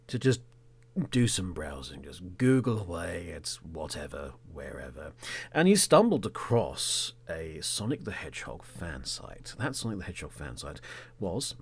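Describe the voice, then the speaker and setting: Theatrical, deep-voiced English man telling a story. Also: creaky, slightly rough. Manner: theatrical, with emphatic words and trailing hushed phrases. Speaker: podcast host. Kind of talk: dramatic third-person storytelling monologue.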